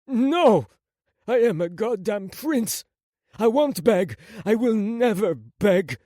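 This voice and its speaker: A man's voice: sits high, lively intonation, slow pace, a little mellow, no echo, studio quality, voice pushed up. A man voicing a proud, defiant young prince in an audiobook, with intense, emphatic delivery. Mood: furious, defiant.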